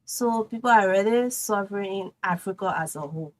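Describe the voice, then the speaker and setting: Liberian woman, mid pitch, plain steady answers, culinary guest. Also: a little fast, a little hiss or hum. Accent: Liberian English. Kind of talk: casual interview answers.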